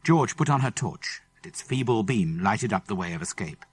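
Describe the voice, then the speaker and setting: A man's voice: fairly low pitch, animated, normal speed, breathy edge. A man with a British English accent narrating a children's adventure story dramatically. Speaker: audiobook narrator. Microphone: close studio microphone.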